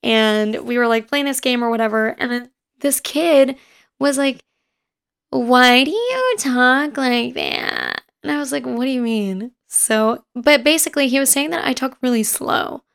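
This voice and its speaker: A woman in her twenties with a raspy voice, hosting a casual podcast and rambling quickly through personal stories. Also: high voice, a bit bright. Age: 20s. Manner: fast-talking and rambling. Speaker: podcast host. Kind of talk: casual chat. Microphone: home recording setup.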